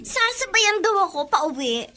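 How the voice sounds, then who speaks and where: Bratty snob schoolgirl in a radio drama, whiny n haughty, real bright sharp high voice. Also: big pitch swings, measured pace, full character voice. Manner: whiny, haughty, exaggerated exclamations. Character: snobbish, bratty teenage girl, disdainful of poorer schoolmate. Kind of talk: Filipino radio drama acting.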